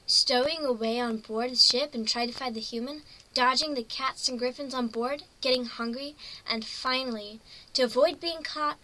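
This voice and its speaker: Woman acting a high, slow, dazed unicorn mare. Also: bright, forceful tone, rough recording. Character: naive, dazed female unicorn pony stowaway. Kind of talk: first-person dramatic story reading.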